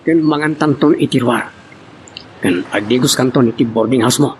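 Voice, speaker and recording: A man's voice: mid-range voice, normal speed, a little mellow, slight background noise, natural voice, rough recording. A man with an Ilocano accent acting an evasive, impatient cheating husband in an amateur audio drama. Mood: impatient, worried. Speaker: actor in an amateur radio-style drama.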